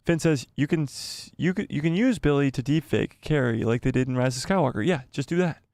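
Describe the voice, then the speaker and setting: A man hosting a live stream, chatting casually and reading out viewer comments. His voice is going hoarse and he rambles and repeats himself as he thinks aloud. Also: a little high, brisk, a little mellow, dry recording, clean studio sound. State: losing his voice, coughing. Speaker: livestream host.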